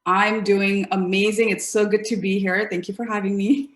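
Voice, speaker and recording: A woman's voice: medium pitch, level tone, moderate pace. Chatty woman guest in an echoey room. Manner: chatty and upbeat, often trailing off with fillers. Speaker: interview guest, fashion blogger. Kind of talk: casual interview.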